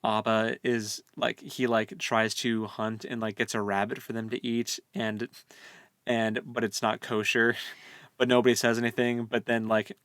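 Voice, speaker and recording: A man co-hosting a casual book discussion, speaking in halting, informal phrases. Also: mid-range voice, flat delivery, a bit bright, smooth, dry recording, studio quality. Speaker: podcast co-host.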